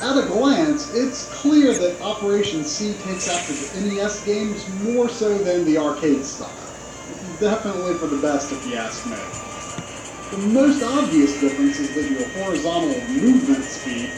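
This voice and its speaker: A man narrating a scripted video game review in a conversational, opinionated way, with casual asides. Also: high voice. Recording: roomy, played back with game music behind.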